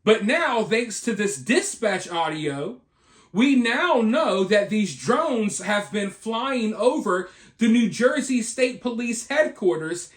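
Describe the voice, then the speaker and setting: A man hosting an opinionated news commentary, speaking emphatically with repeated points and rhetorical questions. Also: high voice. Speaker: video host and commentator.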